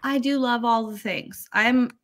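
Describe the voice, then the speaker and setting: A woman's voice: on the high side, measured pace. A woman from the American South chatting casually as a guest on a stream. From southern United States. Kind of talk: casual chat.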